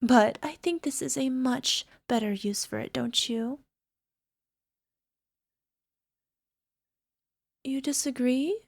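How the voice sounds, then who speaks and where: A woman's voice: sits high, moderate pace, vocal fry, a little mellow, dry recording, studio quality. A woman playing a lovesick, possessive young alchemist in an ASMR girlfriend roleplay, speaking in a coy, coaxing, sweetly menacing way. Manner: coy, coaxing, giggly, tag questions. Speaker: voice actor.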